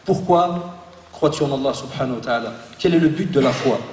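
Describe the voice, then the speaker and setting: A man in his forties, born in Belgium, giving a lively religious talk to an audience and joking with the crowd. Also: medium pitch, a little fast, a little hiss or hum. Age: 40s. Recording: echoey hall, voice from far off.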